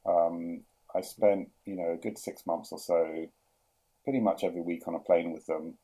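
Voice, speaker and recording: A middle-aged man with a London accent, talking through his career in a podcast interview, often pausing with fillers and restarting sentences. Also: low voice, steady tone, dark tone, husky, poor audio. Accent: London English. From England. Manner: hesitant, many fillers and restarts. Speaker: guest, product executive.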